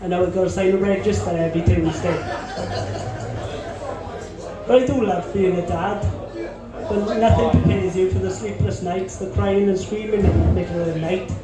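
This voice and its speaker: A young man with a fairly high voice tells crude stories with deadpan timing. His voice booms around a very echoey hall, and music plays clearly behind him. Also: moderate pace, long pauses. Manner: crude, deadpan punchlines with profanity. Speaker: stand-up comedian. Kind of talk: stand-up comedy set. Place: comedy club stage.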